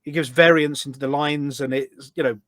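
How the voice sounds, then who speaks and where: A man's voice: on the high side, steady tone, fairly quick, a bit bright, no echo, clean studio sound. A man chatting casually as an interview guest, telling anecdotes in an enthusiastic, talkative way. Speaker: podcast guest, voice pack producer.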